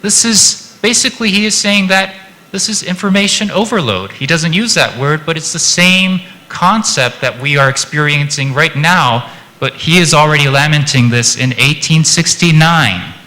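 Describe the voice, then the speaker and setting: A Japanese man with an American-influenced accent giving a chatty, lively conference presentation and joking with the audience. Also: fairly high pitch, normal speed, long reverb tail, rough recording. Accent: American-influenced English. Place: conference hall.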